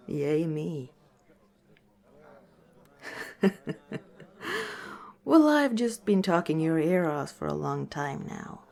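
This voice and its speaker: A woman voices a female orc who runs a village tavern in an ASMR fantasy roleplay. She talks very slowly and gently, in a chatty, friendly way with a teasing streak. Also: low-pitched, vocal fry, heavily acted. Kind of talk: ASMR roleplay, softly spoken one-sided conversation.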